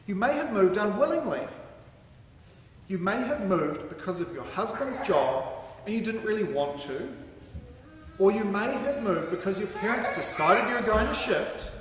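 A man reading a sermon aloud from a script in a deliberate, emphatic way, standing at a microphone in a large hall. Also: high voice. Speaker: sermon reader. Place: large hall with curtained stage, indoors. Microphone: microphone on a stand at a lectern. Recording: very echoey hall with some background noise.

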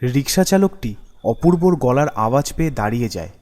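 A man's voice: mid-range voice, animated, brisk, a little mellow. A man giving scripted narration of a ghost story as the storyteller. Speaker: storyteller and voice artist. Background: background music.

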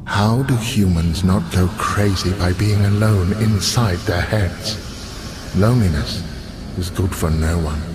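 An actor playing a solemn, earnest elf envoy in a video game, with formal, measured phrasing. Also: male voice, low voice, heavy background noise. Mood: calm.

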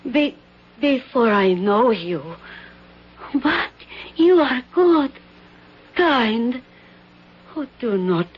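Palace maid from abroad, broken english, slow and husky, dull old radio sound. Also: female voice, fairly high pitch, exaggerated voice. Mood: pleading. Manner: broken halting English, pleading. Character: anxious foreign maid of a royal palace. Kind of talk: 1970s radio drama acting. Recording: dull 1970s radio broadcast.

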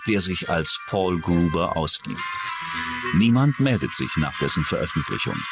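A man's voice: deep voice, big pitch swings, moderate pace. A man reading scripted narration for a true-crime documentary. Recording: music under the voice. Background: background music.

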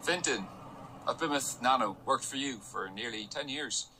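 A man's voice: medium pitch, moderate pace, bright, forceful tone, a little hiss or hum, rough recording. An actor in a radio drama playing a sardonic male office analyst in his thirties, narrating and talking in a dry, wry way. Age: 30s.

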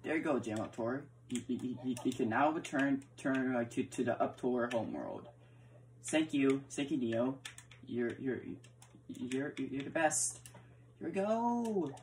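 A woman's voice: extremely low pitch, expressive, a little slow, darkish tone, slight background noise. A woman chatting casually while role-playing a block-building game, often stammering and repeating her words, recorded indoors by a camera aimed at the screen. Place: indoor room, filming a TV screen.

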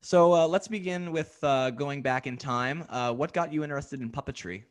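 A man's voice: a little high, normal speed. A young man hosting an interview podcast, friendly and a bit hesitant with many fillers. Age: young adult. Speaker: podcast host. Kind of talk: casual interview chat.

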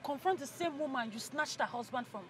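A woman's voice: sits high, brisk, fairly bright tone, a little hiss or hum. A woman playing a cautioning friend in a film drama. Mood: cautioning, urgent. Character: animated, cautioning friend of a wronged wife.

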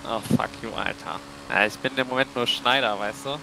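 A man's voice: medium pitch, steady tone, brisk, vocal fry. A man in a roleplay game, bantering casually in a cheeky, teasing way. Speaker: fellow player in a roleplay game. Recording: noisy game chat with vehicle sounds.

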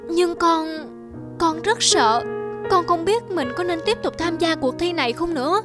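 A woman's voice: very high voice, expressive, measured pace, over music. A woman voicing a timid, self-doubting young girl in a cartoon, with a hesitant, plaintive manner. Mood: anxious, despairing. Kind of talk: animated fairy-tale dubbing.

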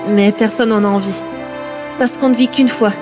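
Woman narrating a radio comedy, deadpan, scripted, faint music under her. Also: medium pitch, moderate pace.

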